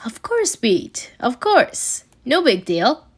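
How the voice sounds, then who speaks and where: A woman's voice: a little high, sing-song, slow, slightly rough, heavily acted. A woman voicing a casual, reassuring male mentor and inventor in a story reading, with a breezy, informal manner.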